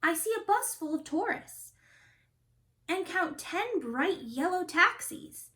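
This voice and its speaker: Female storytime reader, high register, lively swings in pitch, expressive phrasing aimed at young children. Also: takes it slowly. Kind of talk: children's picture book read-aloud.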